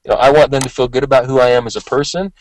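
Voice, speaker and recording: A man's voice: mid-range voice, fairly even intonation, rapid-fire, rough recording. A man giving a motivational sales training talk in a voice worn from a long day of talking, conversational and emphatic, often repeating himself. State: voice worn from talking all day, occasional cough.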